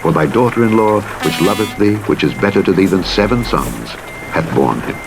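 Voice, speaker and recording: A man giving a solemn, measured and expressive scripted reading of the Bible, in the manner of an audiobook narrator. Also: vocal fry, music in the background. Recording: noisy, with vehicle and rain-like rumble. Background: truck simulator game driving noise.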